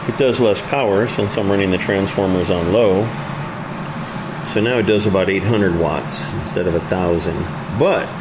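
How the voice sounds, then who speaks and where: A man giving an informal, unscripted hands-on explanation of his hobby project in a blunt, colloquial, plain-spoken way. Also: fairly low pitch. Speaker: hobbyist presenter demonstrating his amplifier project. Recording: loud steady background hum.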